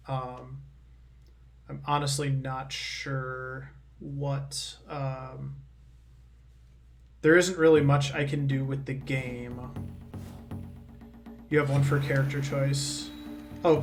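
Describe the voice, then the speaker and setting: Flat-toned man streaming over game music. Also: mid-range voice. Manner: rambling, thinking aloud while playing. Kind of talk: casual live gaming commentary.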